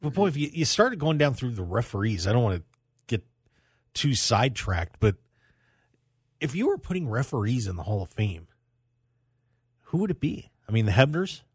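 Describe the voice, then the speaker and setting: A man's voice: mid-range voice, sing-song, normal speed, pauses often, slightly rough, dry recording, studio quality. An American man hosting a casual sports talk radio show in a jokey, rambling way. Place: dry radio studio.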